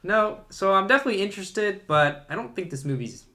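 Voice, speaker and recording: A man's voice: sits high, sing-song, fairly quick, pushed and bright, slight background noise. A man co-hosting a podcast, talking informally and giving his opinions in casual movie chat. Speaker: podcast co-host.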